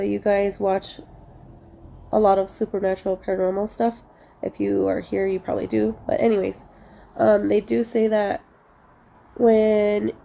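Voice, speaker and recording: A woman's voice: mid-range voice, vocal fry, dark tone. A young woman with a stuffy, congested voice, casually telling a rambling story to her viewers with many fillers. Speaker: vlogger.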